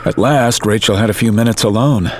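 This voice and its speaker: A man narrating a story in a calm, measured, scripted way. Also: lowish voice, a little hiss or hum. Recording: music under narration.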